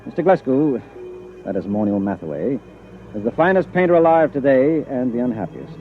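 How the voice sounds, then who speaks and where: A pragmatic, casual pal, played by a male actor in a 1950s radio drama, talking someone round with wry reassurance. His pitch is mid-range and very animated. The old recording is narrow and tinny. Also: a little fast, slight background noise, close to an everyday voice. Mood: narrating. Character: pragmatic, fast-talking, reassuring painter's friend, narrator.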